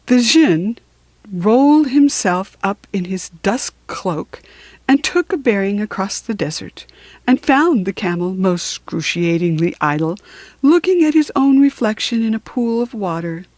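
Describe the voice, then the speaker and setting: Slow, highly expressive woman storyteller. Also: no room sound. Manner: expressive, deliberate. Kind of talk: children's story and verse reading.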